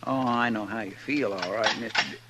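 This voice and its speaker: A man's voice: lowish voice, brisk, lightly acted. A man playing a folksy, fretful, loyal deputy in a radio western, with a rural American drawl and a deferential, grumbling manner. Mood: flustered. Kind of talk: 1950s radio western acting. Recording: noisy old radio recording.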